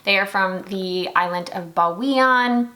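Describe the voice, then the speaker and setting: Chatty young woman, bright voice. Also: mid-range voice, measured pace. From Singapore. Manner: chatty, lots of "like" fillers. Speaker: beauty vlogger. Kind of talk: casual chat while doing makeup.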